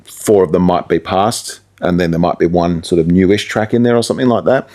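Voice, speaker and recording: Low-voiced Australian man chatting casually. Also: fairly quick, darkish tone. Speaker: radio presenter and musician, interview guest. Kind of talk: casual conversational interview talk.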